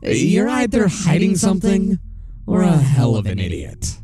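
A man's voice: high voice, wildly swooping pitch, a little slow, mellow, full character voice. A man voicing a cocky, hot-tempered thug who swaggers and swears in a horror audio drama. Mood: mocking. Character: cocky, foul-mouthed male thug, hot-tempered and taunting.

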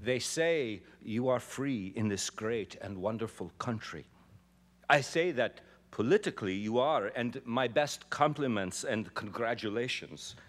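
A man's voice: medium pitch, lively intonation, a little slow. A man reading as an impassioned immigrant organizer. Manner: impassioned, swelling then dropping to hushed. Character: young male immigrant labor organizer pleading before a jury. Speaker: actor giving a dramatic reading. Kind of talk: dramatic stage reading. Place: large theater stage. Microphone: podium microphone.

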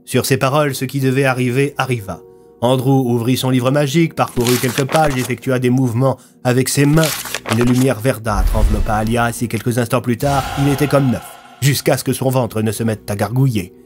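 A man in his thirties narrates a scripted story with dramatic delivery. His voice sits at a middle pitch, with music playing softly behind him. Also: steady tone, brisk. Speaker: narrator of his own audio fiction.

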